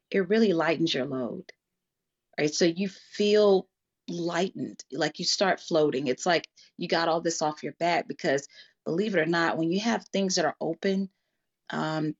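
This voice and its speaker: A woman's voice: fairly low pitch, lo-fi sound. A woman speaking reflectively and earnestly as she explains her thoughts to a group, often checking in with listeners. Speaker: guest speaker in a group discussion.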